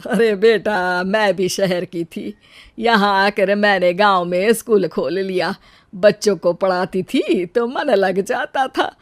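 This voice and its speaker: A woman's voice: medium pitch, slow, natural voice. A woman playing a kindly, well-off village mother-in-law in a cartoon story, giving indulgent advice. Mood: encouraging.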